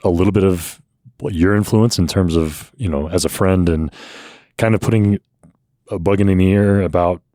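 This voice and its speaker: A man speaking as a brewery owner in a casual podcast chat, easygoing and reflective, quick to laugh. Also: sits low, dark tone, no room sound, studio quality.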